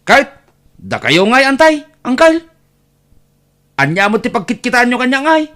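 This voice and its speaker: A man's voice: very high-pitched, very animated, normal speed, fairly bright tone, exaggerated voice. A man playing a put-upon young nephew in an audio drama, plaintive and exclamatory, with an Ilocano accent. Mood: hurt, indignant.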